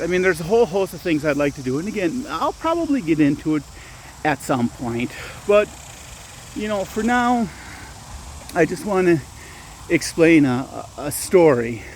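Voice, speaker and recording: A man's voice: fairly high pitch, slight background noise. A man hosting his own channel, talking casually while walking outdoors at dusk, rambling with frequent restarts and self-corrections. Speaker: channel host and storyteller. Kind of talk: casual walking vlog talk.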